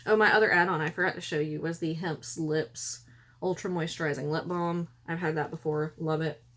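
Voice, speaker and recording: A woman, hoarse and losing her voice, chatting casually through a makeup tutorial at home. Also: deep voice, fairly even intonation, brisk, fairly bright tone. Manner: chatty, rambling, self-correcting. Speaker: beauty vlogger. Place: room at home by a window.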